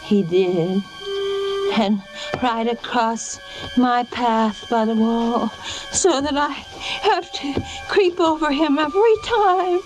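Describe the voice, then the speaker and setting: An actress playing an unhinged wife who creeps in triumph, halting and repeating herself in 1940s radio style. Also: female voice, fairly high pitch, rough recording. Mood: eerie, crazed. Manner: halting, stammering, repeating phrases. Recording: vintage 1940s radio recording, music behind.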